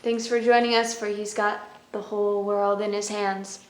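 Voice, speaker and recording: A woman's voice: medium pitch, flat delivery, moderate pace. A woman hosting a children's story time, reading aloud and explaining in a simple way. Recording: roomy echo with music.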